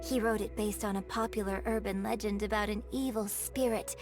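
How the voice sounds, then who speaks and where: A woman's voice: medium pitch, fairly even intonation, fairly quick, close to an everyday voice. A woman playing a refined, courteous young opera performer in a video game, speaking formally and articulately. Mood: composed. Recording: clear background music under the voice.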